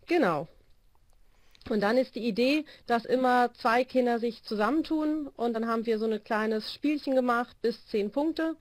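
A woman's voice: fairly high pitch, moderate pace, dry recording. A woman in her forties, a volleyball coach, explaining her training system in a video call interview with a phone held to her ear. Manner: explanatory, many hesitation fillers. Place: small room indoors.

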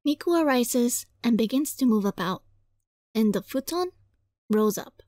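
A woman reading a visual novel aloud as a let's play, dramatic and playful, in a giggly, flustered, excitable manner. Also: on the high side, measured pace, no echo, studio quality. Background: music.

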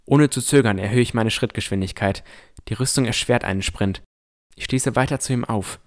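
A man's voice: medium pitch, brisk. A man reading scripted first-person narration as the narrator of a fantasy audio drama.

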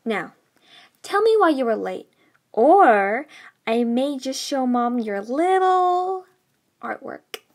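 A confident, high-class teenage sister, played by a woman in an audiobook drama. Her voice is high, and she talks in an assertive, sly, teasing way. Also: big pitch swings, slow, darkish tone, exaggerated voice. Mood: smug, threatening. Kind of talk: audiobook character acting.

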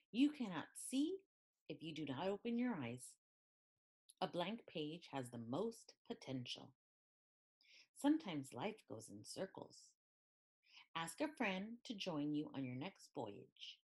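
A woman reading a picture book aloud in an expressive, deliberate way. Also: low-pitched, breathy edge. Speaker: storybook reader.

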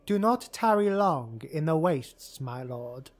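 A man's voice: on the high side, expressive, takes it slowly, voice pushed up. A man voicing an anxious, rueful and loyal male elf retainer in an audiobook. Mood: dread, rueful.

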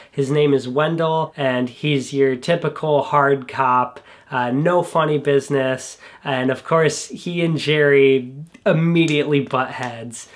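A young man sits in a small home studio and talks through films he likes. He sounds a little congested, as if getting over a cold. His manner is relaxed and enthusiastic, with plenty of filler words along the way. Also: a little high. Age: young adult. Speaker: film reviewer. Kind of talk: casual scripted review. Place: small bedroom studio indoors.

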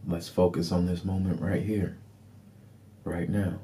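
Very low, mellow man guiding meditation. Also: a little hiss or hum. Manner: calm, deliberate, soothing instruction. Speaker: meditation guide. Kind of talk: guided meditation, short repeated phrases.

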